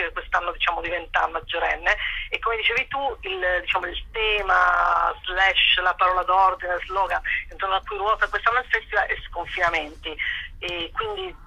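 A woman's voice: fairly low pitch, lively intonation, moderate pace, creaky, pushed and bright, husky. A woman, a festival organizer, speaking on a radio phone interview and hedging with fillers. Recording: muffled phone line.

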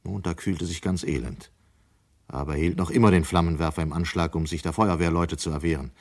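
An actor as a sober storyteller, giving scripted narration in a German radio play. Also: male voice, deep voice, fairly even intonation, a little fast. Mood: grave. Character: sober male storyteller of a dystopian tale.

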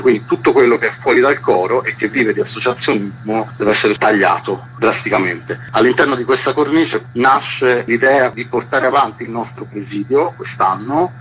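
A man's voice: lowish voice, a bit bright. A man speaking as a festival organiser in a spontaneous radio interview, wordy and earnest, repeating words for emphasis. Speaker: cultural festival organiser and activist guest. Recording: noisy line with electrical hum.

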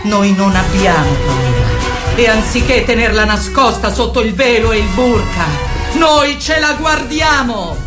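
A woman's voice: a little high, expressive, measured pace, over loud music. A woman performer delivering an emphatic, rallying theatrical monologue. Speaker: stage performer. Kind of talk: declamatory theatrical monologue addressed to women.

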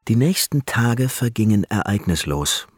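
A man narrating a scripted radio drama in a measured storytelling manner. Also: on the low side, sing-song, husky, no echo.

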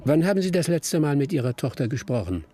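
An actor playing a persistent, composed police detective in a radio crime drama, polite but firm. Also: male voice, a little high, big pitch swings, fast, warm tone. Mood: firm, matter-of-fact.

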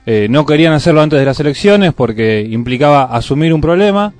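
A man's voice: on the high side, lively intonation, a little fast, a little mellow, no echo. An Argentine man hosting a radio show with unscripted commentary on the news, in a studio with music behind. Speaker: radio host. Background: faint music.

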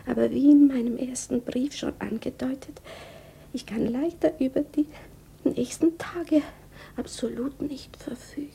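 An actress playing a lovesick, brooding widow in a radio drama, speaking in halting, intimate inner monologue. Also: female voice, sits high, fairly even intonation, gravelly, warm tone, slightly rough, heavily acted. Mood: anxious, doubting. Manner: halting, intimate, often whispering. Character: lovesick young widow Bertha, brooding, wavering between doubt and pride.

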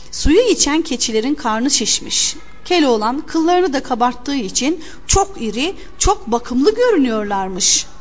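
A woman reading a scripted fairy tale for an audio storybook in an expressive, theatrical way. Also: high-pitched. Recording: long hall-like reverb.

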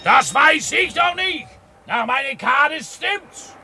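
A man's voice: very high-pitched, expressive, slow, sharp tone, heavily acted. An actor playing a put-upon ship's helmsman in a satirical radio play, exasperated in manner. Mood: bellowing orders.

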